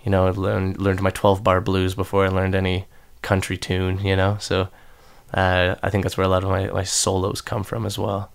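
A young man with a low, smooth and resonant voice that carries a slight nasal edge. He answers questions casually, circling back on himself with fillers and false starts. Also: completely flat delivery, normal speed. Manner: rambling, many restarts and fillers. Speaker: musician guest.

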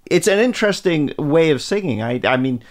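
A man's voice: a little high, animated, a little fast. A man hosting a radio interview, asking enthusiastic questions and often searching for his words. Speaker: radio host.

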